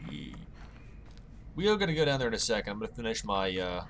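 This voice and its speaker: A man with a slightly hoarse voice, rambling and joking at his own expense as he narrates playing a game. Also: fairly low pitch, big pitch swings, fast, fairly bright tone, a little hiss or hum. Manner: rambling, self-mocking, chatty asides. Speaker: gaming channel host. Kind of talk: casual let's-play commentary. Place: home, late at night.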